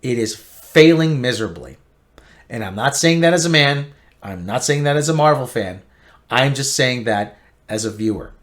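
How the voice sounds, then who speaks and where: A man giving an opinionated, emphatic TV review rant, repeating himself and swinging between loud outbursts and low grumbling. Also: lively intonation, normal speed. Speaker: online pop-culture commentator.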